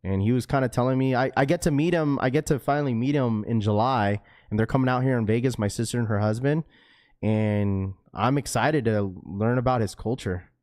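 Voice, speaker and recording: A man hosting a livestream, chatting with his viewers in a relaxed, slangy way and tossing in "bro" and "dude" as he goes. His voice sits in an ordinary middle range. The recording is dry and close, with no room echo. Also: mid-range voice, fairly quick. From Washington state, US. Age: young adult to middle-aged, a father of a schoolchild. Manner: laid-back and slangy, full of bro, dude and like. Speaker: livestream host reading chat. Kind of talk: casual livestream chat.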